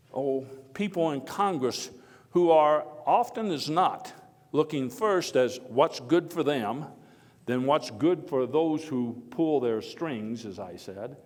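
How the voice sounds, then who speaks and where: An actor playing an earnest, folksy statesman orator of early 1900s America in a theatrical first-person speech, emphatic but given to halting digressions. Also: medium pitch. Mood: earnest, explanatory. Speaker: living-history performer. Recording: echoey hall with long reverb.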